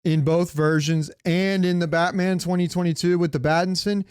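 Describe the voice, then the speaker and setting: A man's voice: high voice, fairly even intonation, normal speed, a little mellow, clear, resonant tone, dry recording, studio quality. A man chatting casually and enthusiastically as a podcast co-host, with some loose profanity. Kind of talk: casual pop-culture podcast chat.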